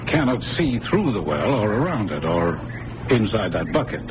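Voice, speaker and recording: An excitable professor in an old radio drama, eager and emphatic. His intonation swings widely, and the recording is clearly noisy. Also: lowish voice, moderate pace. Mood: eager, probing. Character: excitable male professor investigating the paranormal.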